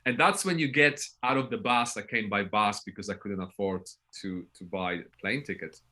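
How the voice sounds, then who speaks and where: A man's voice: fairly low pitch, moderate pace, pushed and bright. A man in his thirties with a Polish accent, telling stories casually and bluntly as a podcast guest. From Poland. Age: 30s. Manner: blunt, sweary, tags phrases with "right?". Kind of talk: casual interview storytelling.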